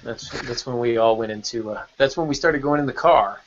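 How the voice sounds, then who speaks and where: A man's voice: mid-range voice, brisk, slight background noise. A man hosting a podcast in a casual, chatty way, steering the conversation. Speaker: podcast host. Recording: dull lo-fi audio.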